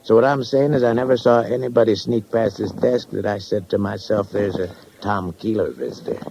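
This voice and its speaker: Gravelly flophouse desk clerk. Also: male voice, fairly low pitch, fairly quick, darkish tone. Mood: defensive. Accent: American. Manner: plain, stubborn, rambling working-class talk. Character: folksy, slow-witted male flophouse desk clerk. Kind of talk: 1950s radio acting. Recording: dull, faintly noisy old radio recording.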